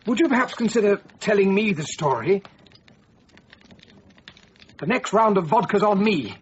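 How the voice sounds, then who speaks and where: An actor playing a polite, inquisitive stranger who keeps asking questions and stammers a little. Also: male voice, high voice, expressive, moderate pace, husky. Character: polite, curious visiting stranger. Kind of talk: BBC radio play acting. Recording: slightly dull radio broadcast.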